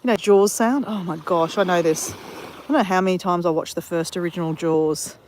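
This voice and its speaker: A woman's voice: fairly low pitch, brisk, a little mellow, slight background noise. An Australian woman chatting casually while walking on a beach, telling a rambling story full of self-corrections. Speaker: vlogger. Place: outdoors on a beach.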